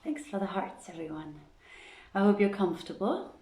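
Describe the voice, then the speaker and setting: A woman's voice: mid-range voice, very animated, moderate pace, warm tone, far from the mic. A woman hosting a reading and telling an old literary tale aloud at home. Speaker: reader and host of a live-streamed reading series. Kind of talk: literary storytelling read aloud, archaic prose and verse. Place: indoors at home. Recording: roomy home livestream echo.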